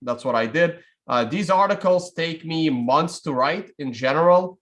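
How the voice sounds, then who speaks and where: Rambling man in his thirties, a bit high. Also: moderate pace, fairly bright tone. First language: Russian. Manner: rambling, off-the-cuff, many fillers and restarts. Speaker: trading show host. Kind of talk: casual live-stream chat. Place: home studio room, indoors. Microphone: webcam video call.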